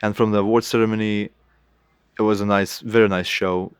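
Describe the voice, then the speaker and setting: Soft-spoken Lithuanian-accented man, lowish voice. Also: level tone, clear, resonant tone, no echo. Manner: halting, searching for words with many restarts. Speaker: guest artist. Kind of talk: interview answers.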